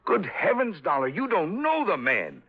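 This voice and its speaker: An actor playing an anxious, guilt-ridden older businessman in 1950s radio drama style, halting and pleading, with formal wording. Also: high-pitched, huge pitch swings, breathy edge, heavily acted. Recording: dull vintage 1950s radio recording.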